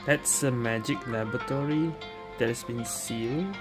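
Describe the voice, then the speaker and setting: A woman's voice: rumbling low, normal speed, over music. A woman giving casual commentary as she plays a video game.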